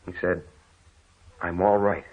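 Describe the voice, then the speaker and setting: An actor playing a steady, protective husband in a 1930s radio horror drama. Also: male voice, low-pitched, slow pace, husky, heavily acted. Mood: soothing. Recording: muffled, narrow-band vintage radio recording.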